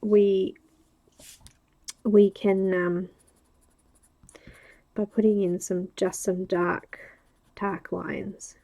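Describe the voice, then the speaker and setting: An Australian woman talks through her nose in a slow, unhurried way, with some gravel in her voice. She chats casually to viewers as she colors, pausing often to think aloud. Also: mid-range voice, level tone, warm tone. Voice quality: nasal. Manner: chatty, thinks aloud with pauses. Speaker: livestream coloring host. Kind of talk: casual chat while coloring.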